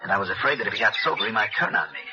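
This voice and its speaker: A man's voice: fairly low pitch, racing, close to an everyday voice. An American man playing a cool, guarded hard-boiled insurance investigator in 1960s radio noir style. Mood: tense, measured. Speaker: narrator and lead actor. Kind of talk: 1960s radio noir acting, first-person narration. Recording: dull old radio recording with music.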